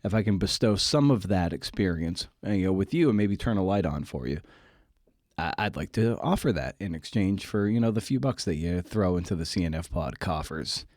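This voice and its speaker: Male podcast host, on the low side, dry recording. Casual, candid, self-deprecating, loose and rambling. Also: lively intonation, brisk, a little mellow, dry recording. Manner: self-deprecating, rambling with repeated false starts.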